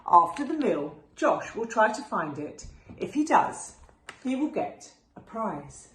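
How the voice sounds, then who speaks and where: A patient, gently chiding mother spider from a picture book, acted by a woman. She teaches with animated pitch and stretches her key words, in a slightly echoing room. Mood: explaining. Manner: instructive, drawn-out emphasis on key words. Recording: roomy echo with faint background noise.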